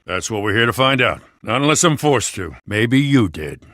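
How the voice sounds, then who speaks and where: An actor playing a world-weary, honest city cop in a video game, wry, dutiful and matter-of-fact. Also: male voice, medium pitch, sing-song, moderate pace, a bit bright, dry recording, clean studio sound.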